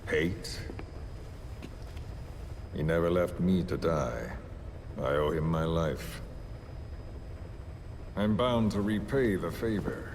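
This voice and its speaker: An actor playing a calm, coldly vengeful villain in a video game, delivering solemn, deliberate monologues. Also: male voice, low voice, noisy background. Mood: measured resentment. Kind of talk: dramatic video game cutscene acting.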